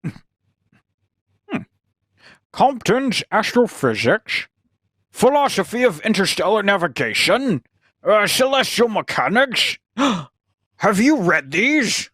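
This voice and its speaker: A woman's voice: medium pitch, sing-song, takes it slowly, long pauses, fairly bright tone, breathy edge, no echo, studio quality, full character voice. A woman playing an anxious, hesitant young male scientist with orthodox beliefs in a radio sci-fi drama. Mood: puzzled, curious. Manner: hesitant, incredulous, protesting. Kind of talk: cold-read old-time radio sci-fi drama.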